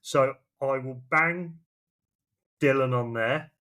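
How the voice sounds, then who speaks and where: A man co-hosts a podcast with a medium-pitched voice. He talks casually, rambling informally from one thought to the next. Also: slow, bright, forceful tone. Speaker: podcast co-host. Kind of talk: casual chat.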